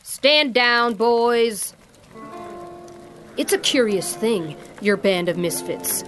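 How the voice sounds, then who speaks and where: An actress playing a condescending, threatening merchant boss in a fantasy audio drama, with a dry delivery. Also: female voice, fairly high pitch, expressive, takes it slowly, a bit bright, faint music behind. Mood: cold, condescending.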